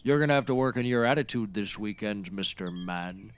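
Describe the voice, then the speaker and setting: Man playing sarcastic backwoods man, low pitch, folksy, deadpan, scripted comedy, noisy birdsong ambience. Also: fairly quick, close to an everyday voice. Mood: wry. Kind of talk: scripted audio comedy acting.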